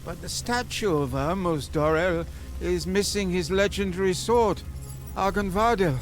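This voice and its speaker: An actor playing a fussy, flustered scholar in a fantasy video game, with a stammering, theatrical, wordy delivery. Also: male voice, sits high, measured pace, faint music behind. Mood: flustered, excitable. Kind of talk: video game character acting.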